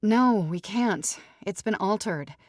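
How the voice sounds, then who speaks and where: A woman's voice: mid-range voice, slow pace, no room sound, studio quality, pitched above the performer's own voice, natural voice. A woman voicing a naive, stubborn jilted young bride in an audiobook, pleading and rambling in a breathless manner. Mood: bewildered. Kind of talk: audiobook character dialogue.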